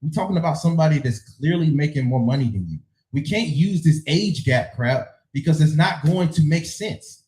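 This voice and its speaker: A man's voice: a little high, animated, moderate pace, darkish tone, reverberant. A man, a guest in a heated casual debate, answering with stubborn, clipped retorts.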